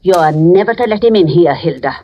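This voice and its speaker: An actress playing a scolding, suspicious old spinster in an old radio drama. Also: female voice, lowish voice, expressive, brisk. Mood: cranky, muttering. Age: older. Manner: scolding, fretful. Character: cranky, suspicious old spinster aunt, rich and stern. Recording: dull, lo-fi old radio recording.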